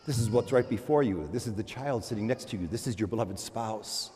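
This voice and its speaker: A man giving a homily as a priest, animated, moving between loud exclamations and hushed murmurs, often repeating words and restarting in a conversational way. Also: fairly low pitch, a little fast, slight background noise. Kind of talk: sermon. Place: large church. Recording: long church reverb.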